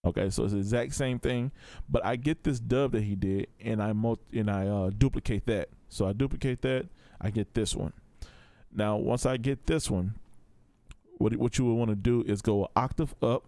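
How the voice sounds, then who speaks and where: A man's voice: fairly low pitch, normal speed, warm tone, no room sound. A man giving a casual, step-by-step tutorial in a laid-back, informal way. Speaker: audio production tutor.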